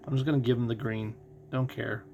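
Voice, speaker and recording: A man's voice: mid-range voice, level tone, fairly quick, creaky, dark tone, slight background noise. A man doing casual live commentary as a game streamer, explaining things conversationally and thinking aloud.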